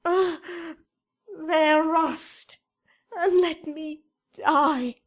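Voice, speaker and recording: A woman's voice: high voice, fairly even intonation, drawn-out words. An actress playing a grief-stricken teenage girl in love, speaking tragic Shakespearean verse for radio drama. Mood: grieving, desperate. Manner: lingering, tragic, hushed to crying out.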